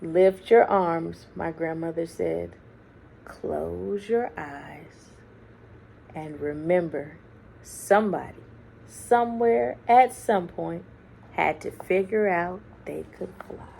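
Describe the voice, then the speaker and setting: A woman reading a children's story aloud expressively, with deliberate dramatic phrasing and hushed, drawn-out words. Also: on the low side, vocal fry. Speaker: children's book reader. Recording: faint background noise.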